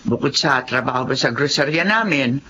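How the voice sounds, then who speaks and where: Kind motherly boss lady in radio drama, very expressive pitch, some noise behind. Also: normal speed, heavily acted. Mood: warm, pleased. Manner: reassuring, motherly. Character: kindly, generous childless wife, employer of a helper. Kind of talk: Filipino radio drama acting. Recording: slightly muffled with clear background noise.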